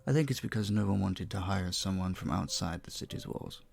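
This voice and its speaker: A man playing a charming, polite vampire bartender in an intimate audio roleplay, soft-spoken and slightly formal. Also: low voice, brisk, gravelly, faint music behind. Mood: modest, conversational. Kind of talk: audio roleplay, intimate one-sided dialogue.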